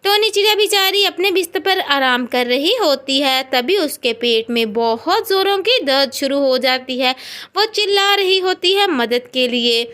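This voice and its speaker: A woman narrating a scripted children's story in a drawn-out storytelling manner. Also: sits high, lively intonation, a bit bright.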